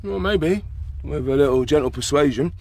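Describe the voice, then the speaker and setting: An actor playing a blunt, rough-and-ready male rabbit fighter with a husky voice in a radio drama. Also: fairly high pitch, moderate pace, a little mellow. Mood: sceptical, wry. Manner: rough and ready, plain-spoken.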